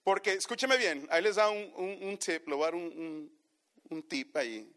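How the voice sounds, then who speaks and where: Man, pastor, high voice, bilingual sermon, repeats each phrase in a second language, calls listeners brothers. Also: moderate pace, fairly bright tone. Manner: preaches each phrase in Spanish then repeats it in English; keeps addressing the congregation as brothers.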